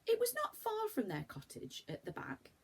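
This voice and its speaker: A woman reading a children's story aloud in an expressive, deliberate storytelling manner. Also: fairly high pitch, vocal fry, breathy edge.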